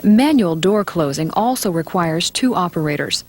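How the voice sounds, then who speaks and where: A woman reading scripted instructional narration for a training film in a measured, matter-of-fact way. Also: lowish voice, animated, a little mellow.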